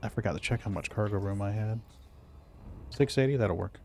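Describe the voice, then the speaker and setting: A man's voice: fairly low pitch, brisk, creaky, slight background noise. A man streaming a game, chatting casually with a friend, talking to himself and humming idly. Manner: relaxed, talks to himself, hums idly. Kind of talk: casual chat while gaming.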